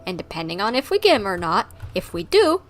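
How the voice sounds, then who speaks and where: A woman chatting casually on a gaming livestream, rambling along with animated griping. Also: mid-range voice, a little fast. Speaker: streamer.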